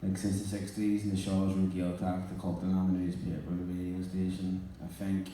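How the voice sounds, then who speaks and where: Deep-voiced man in echoey hall. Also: completely flat delivery, a little fast, warm tone, far from the mic, a little hiss or hum. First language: Irish. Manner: cheeky, then earnest and reflective. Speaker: panel guest, rapper. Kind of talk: live onstage panel talk. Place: large indoor venue before an audience.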